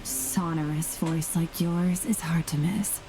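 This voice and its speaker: A woman voicing an aloof young female warrior in a video game, formal, blunt and deadpan. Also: deep voice, takes it slowly, music in the background, low-quality recording. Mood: cold, dry.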